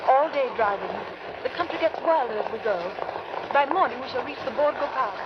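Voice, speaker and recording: Anxious young heroine, high voice, old radio horror, muffled and hissy. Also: female voice, lively intonation, a little fast. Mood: tense narration. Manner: dramatic, earnest. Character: earnest, anxious young woman on a vampire hunt. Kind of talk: 1930s radio drama, diary narration. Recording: muffled, noisy 1930s radio recording.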